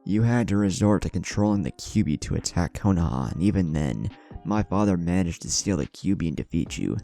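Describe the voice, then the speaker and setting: A man plays a defiant teenage ninja in an audio story, mocking and coolly confident. The voice is very low and gravelly, with deliberate phrasing. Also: lively intonation, warm tone, faint music behind. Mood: taunting, menacing.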